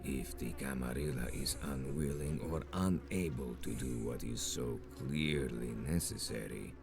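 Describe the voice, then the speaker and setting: Very deep, menacing vampire zealot. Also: male voice, unhurried, creaky, music in the background, full character voice. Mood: ominous. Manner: grandiose, solemn, archaic formal oratory. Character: menacing, zealous male vampire sect leader, ancient and commanding. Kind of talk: dramatic video game villain acting.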